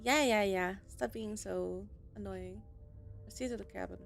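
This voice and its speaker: Creaky-voiced woman playing a game. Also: mid-range voice, normal speed. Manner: sarcastic, talks back to the game. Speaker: gaming streamer. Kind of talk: casual let's-play commentary. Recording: faint game music behind.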